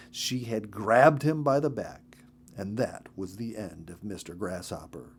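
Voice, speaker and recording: A man reading a children's story as narrator, expressive and carefully emphatic. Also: mid-range voice.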